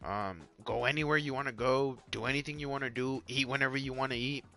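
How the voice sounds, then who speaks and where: Bright-voiced Mexican guy rambling on stream. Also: medium pitch, steady tone, quick pace. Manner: rambling, repeats himself, lots of "like" and "you guys". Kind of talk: casual live-stream chat while gaming. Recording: faint music underneath.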